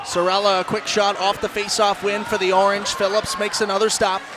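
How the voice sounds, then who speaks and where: A man doing animated, projected play-by-play commentary on a live ice hockey broadcast. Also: high-pitched, fairly quick. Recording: loud crowd and arena noise behind. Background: ice rink crowd.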